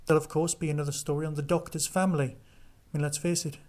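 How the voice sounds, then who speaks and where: Male podcast host, slightly high-pitched, casual solo chat, conversational reviewing. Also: little pitch movement, fast, darkish tone.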